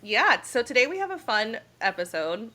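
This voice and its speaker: A woman's voice: on the high side, sing-song, moderate pace, pushed and bright. A woman in her thirties hosting a casual podcast, upbeat and leading the talk, her throat slightly scratchy. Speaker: podcast co-host. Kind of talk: casual chat.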